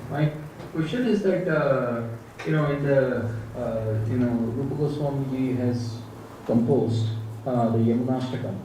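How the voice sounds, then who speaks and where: A man, a student posing a question in class in a halting way, often saying "you know". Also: mid-range voice, dark tone. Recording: very echoey room, distant mic, background noise.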